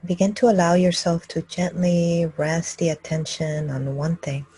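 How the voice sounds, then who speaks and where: A woman meditation teacher guiding a group gently and calmly, speaking in short, unhurried phrases with long pauses. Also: on the low side, slightly rough, no room sound, rough recording. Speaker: meditation teacher leading a live group session. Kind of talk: guided meditation, slow and gentle instruction.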